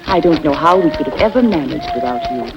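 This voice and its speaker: An actress playing the idle, flirtatious wife of a rich master, speaking in a languid, coaxing way. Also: female voice, sits low, expressive, fast, dull audio, over loud music. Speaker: lady of the house.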